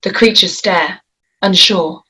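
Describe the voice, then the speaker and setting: A woman reads a screenplay aloud at a measured pace, laying out scene directions and action lines. Her voice sits in an ordinary middle pitch. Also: pushed and bright, breathy edge, rough recording. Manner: measured, descriptive reading. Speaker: script reader. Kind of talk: screenplay table read.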